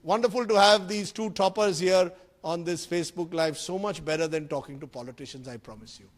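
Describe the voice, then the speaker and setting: A middle-aged man with an Indian accent, hosting a lively interview and switching between English and Hindi with a teasing, energetic manner. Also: on the high side, brisk. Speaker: interviewer, host.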